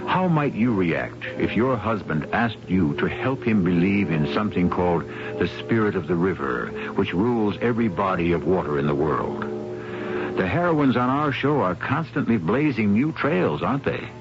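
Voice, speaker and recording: A man hosting and narrating a radio drama, theatrical and deliberate, with a wry way of addressing listeners. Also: deep voice, animated. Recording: dull vintage radio recording. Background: music.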